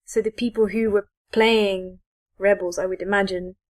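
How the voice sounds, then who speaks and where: A woman's voice: mid-range voice, steady tone, moderate pace, no echo, clean studio sound. A woman co-hosting a podcast in a casual, conversational discussion of games. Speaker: podcast co-host.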